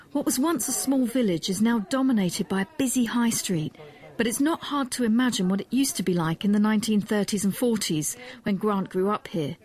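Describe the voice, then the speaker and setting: A woman with a medium-pitched voice presents a history documentary. She narrates from a script and puts questions to her interviewees as a historian would. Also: a little mellow.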